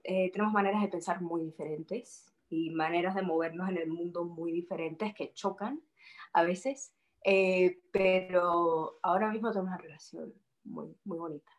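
A woman's voice: lowish voice, fairly even intonation, moderate pace. A young woman from Spain, a guest in an interview, who talks casually and hesitates, repeating words as she thinks.

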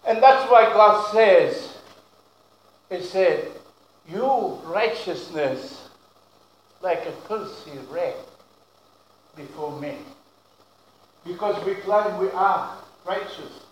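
A man preaching a sermon as pastor, speaking English as a second language with broken grammar, loud and emphatic, slowing down to stretch key words. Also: high-pitched, animated, slight background noise. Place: church. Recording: very echoey room.

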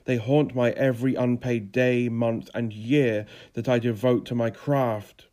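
A man's voice: medium pitch, little pitch movement, measured pace, warm tone, lightly acted. Man putting on a pompous, theatrical failed playwright. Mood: defiant, ranting. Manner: pompous, declamatory. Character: flamboyant, theatrical, grey-haired failed playwright, self-pitying and grandiose.

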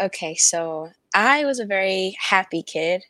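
A woman's voice: medium pitch, lively intonation, a little slow, sharp tone, no room sound. A young woman, an actress, answering interview questions in an upbeat way and laughing easily. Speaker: actress, guest.

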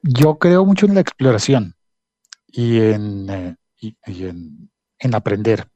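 A man's voice: lowish voice, slow, warm tone, no echo, highs cut off. A former broadcaster speaking on a panel, hesitant and self-aware, telling stories about his podcast. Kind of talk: reflective panel talk.

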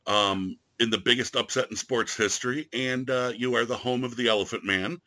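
Joking teasing guy hosting, bright forward voice, mid pitch. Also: steady tone, normal speed. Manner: jokey, teasing. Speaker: host. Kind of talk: casual chat.

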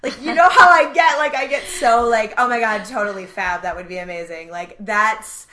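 A young woman chatting on her podcast with a bright, forward voice. She talks in a dramatic, animated way, full of fillers and restarts. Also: fairly high pitch, normal speed. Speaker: podcast co-host. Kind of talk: casual chat.

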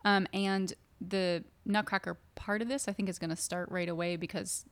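A woman's voice: medium pitch, steady tone, normal speed, no room sound. A young adult woman from the US East Coast, chatting casually as a guest on a podcast, laughing and restarting her sentences. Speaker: guest choreographer. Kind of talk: casual chat.

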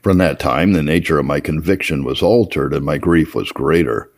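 Very deep, gravelly man narrating. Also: normal speed. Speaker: audiobook-style reader of historical religious readings. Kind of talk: scripted narration of an old first-person memoir.

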